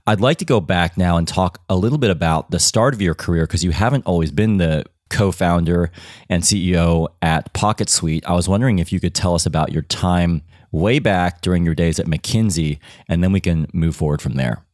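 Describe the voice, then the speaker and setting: A man hosting an interview, asking questions in a measured, polite and affirming way. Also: low voice, a little mellow, no echo, studio quality. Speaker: podcast host, interviewer.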